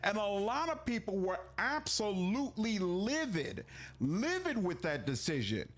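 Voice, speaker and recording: A man giving casual, exasperated commentary as a gaming video creator, explaining clearly. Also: sits high, sing-song, measured pace.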